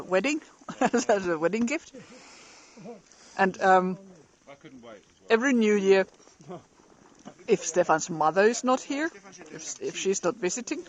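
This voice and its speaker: A middle-aged woman speaks slowly and easily to her live-stream viewers, greeting them as she goes. She laughs often. She is outdoors in a snowy forest, talking into a phone camera. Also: medium pitch. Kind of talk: casual live stream chat.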